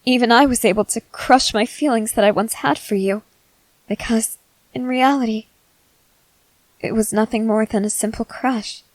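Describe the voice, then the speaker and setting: A woman playing a guarded young female soldier, blunt but softening, in halting roleplay ASMR. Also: on the high side, steady tone, no room sound, close to an everyday voice. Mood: flustered, disbelieving. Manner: halting, hesitant, earnest delivery.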